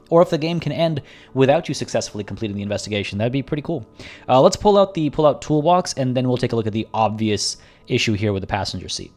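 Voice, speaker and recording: Guy streaming a game, kinda hoarse, rambles and chats with viewers, normal pitch. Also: darkish tone. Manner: rambling and chatty, frequent restarts. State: slightly hoarse, losing his voice. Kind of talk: casual livestream commentary.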